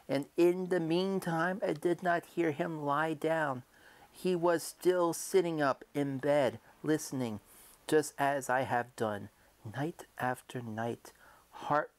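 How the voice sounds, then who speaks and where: A man narrating a gothic horror story in an old-time radio manner, theatrical and deliberate, shifting between hushed and emphatic delivery. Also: a little high. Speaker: narrator reading a short story aloud.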